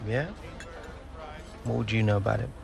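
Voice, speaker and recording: An actor playing a guarded, cocky young footballer in a video game cutscene. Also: male voice, on the low side, flat delivery, moderate pace, vocal fry. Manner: curt, guarded. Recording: score music underneath.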